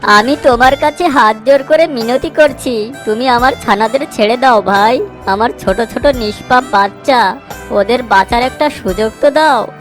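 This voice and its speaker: A woman voicing a small, wronged mother bird in an animated moral story, earnest and emotional. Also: a little high, moderate pace, faint music behind, natural voice. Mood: pleading.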